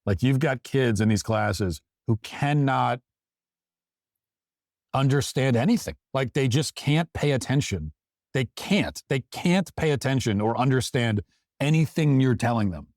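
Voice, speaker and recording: A man's voice: medium pitch, lively intonation, measured pace, darkish tone, dry recording, clean studio sound. A man hosting a talk show, giving emphatic opinion commentary and repeating phrases for stress.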